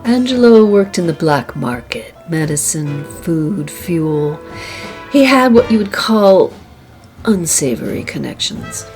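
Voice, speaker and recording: A deep-voiced femme fatale from a forties noir radio drama. She is a proud young society wife, guarded and formal, with music clearly heard behind her. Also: big pitch swings, takes it slowly, a little mellow, exaggerated voice. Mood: composed, secretive. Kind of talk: noir radio play acting.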